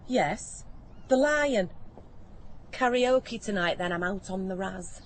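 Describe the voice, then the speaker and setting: A woman's voice: medium pitch, expressive, slight background noise. A woman playing a brash, nosy older barmaid with a Northern English accent, blunt and bantering, in a naturalistic radio play. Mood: defiant, scornful. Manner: blunt, bantering, quick to retort.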